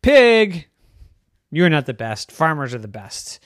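A storyteller voicing a grumpy, bossy male farmer who scolds in a gruff manner. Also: medium pitch, big pitch swings, takes it slowly, no echo, studio quality. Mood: scolding.

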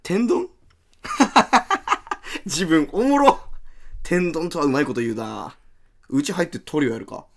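A man's voice: on the high side, big pitch swings, slow, pauses often, heavily acted. A man playing a brash, chatty, friendly young comedian with a Hiroshima-area dialect in an amateur radio drama. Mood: amused, excited. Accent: Hiroshima-area Japanese dialect.

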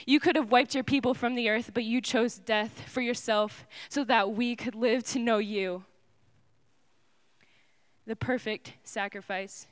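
Slow, solemn young woman reciting poetry. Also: fairly high pitch, steady tone. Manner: slow, solemn, often drops to a hush. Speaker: spoken word performer. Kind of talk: dramatic spoken word poetry.

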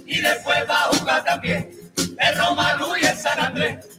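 A male carnival chorus singing together in chorus, with some refrains shouted out. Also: extremely high pitch, little pitch movement, normal speed. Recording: echoey, distant recording with loud music.

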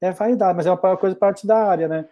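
Halting reflective Brazilian man. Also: high-pitched, dull audio. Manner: reflective, halting with many restarts. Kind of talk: casual conversation.

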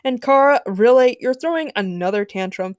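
A woman's voice: fairly high pitch, expressive, moderate pace, no echo, pitched above the performer's own voice, close to an everyday voice. A big-brotherly male superhero, voiced by a woman storyteller. He scolds with amusement, teasing, in a dry recording. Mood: chiding. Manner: teasing, chiding.

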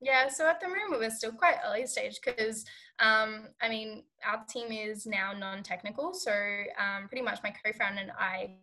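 Young woman founder, early twenties, bright tone, chatty and full of fillers. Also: a little high, normal speed. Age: 20s. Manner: rambling with many fillers. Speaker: startup founder guest. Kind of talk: casual podcast interview.

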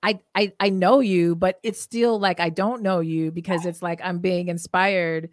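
Middle-aged woman host, slightly low pitch, dry sound, warm chatty interviewer. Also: fairly even intonation, measured pace, no echo. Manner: chatty, many fillers and affirming murmurs. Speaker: podcast host. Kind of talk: casual interview conversation.

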